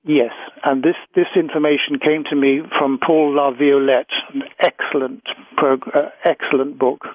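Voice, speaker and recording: A man being interviewed on the radio, talking earnestly in a halting way with repeated words. His voice is mid-pitched and comes over a dry, tinny phone-like line. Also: little pitch movement, dry recording. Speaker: interview guest, author and researcher.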